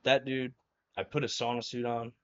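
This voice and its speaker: A man's voice: medium pitch, level tone, normal speed, fairly bright tone, dull audio. A young man from New Jersey telling stories as a guest in a casual interview, rambling with lots of fillers and laughing often. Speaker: guest, former college wrestler.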